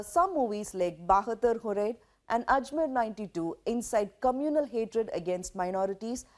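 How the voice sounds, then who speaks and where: A woman with an Indian accent presents a scripted news explainer. Her voice sits fairly high and swings widely in pitch. She delivers each point in a measured way, leaning on key words for emphasis. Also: darkish tone.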